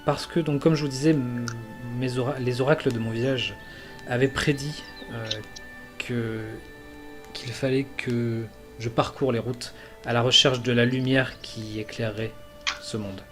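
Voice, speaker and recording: A man voicing an earnest male elf envoy from a jungle land, speaking haltingly with many fillers. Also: mid-range voice, steady tone, music in the background, natural voice.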